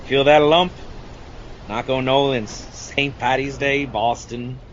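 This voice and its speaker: A man's voice: mid-range voice, steady tone, slow, natural voice. A man playing a weathered, hard-drinking old shark hunter and haunted war veteran in an amateur audio adaptation of a film scene, with a salty, folksy storytelling manner. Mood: boisterous, tipsy. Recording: dull, noisy lo-fi recording.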